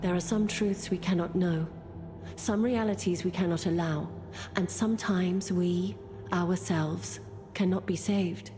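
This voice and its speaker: An actress playing a resolute, long-suffering woman with a grim secret in a video game, speaking in a solemn, cryptic, measured way. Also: lowish voice, fairly even intonation, over music, natural voice. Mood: weary, resolute.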